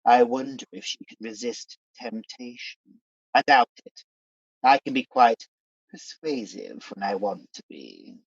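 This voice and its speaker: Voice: mid-range voice, a little slow, breathy edge, no echo. An actor playing a scheming pantomime demon king in a radio play, sly, theatrical and coaxing, on a dull recording. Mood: gloating, sly.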